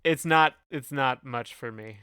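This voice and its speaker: A man's voice: mid-range voice, measured pace, pushed and bright, smooth, no room sound, studio quality. A man co-hosting a casual music review podcast, speaking in a hesitant, loosely phrased way as he gives opinions. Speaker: podcast co-host.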